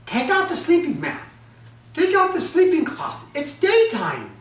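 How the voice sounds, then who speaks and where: A storyteller voicing a vengeful ogress woman who chants and scolds in a high voice, heard in an echoey room from a distance. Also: huge pitch swings, measured pace, exaggerated voice. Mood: angry. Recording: echoey room, from far off, faint ambience.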